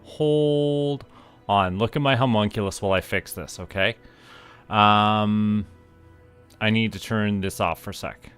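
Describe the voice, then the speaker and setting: Slow, low-voiced man chatting. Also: a bit bright, clean tone, faint music behind. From Canada. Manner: rambling, halting, chatty asides to viewers. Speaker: streamer, VTuber host. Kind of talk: casual livestream chat.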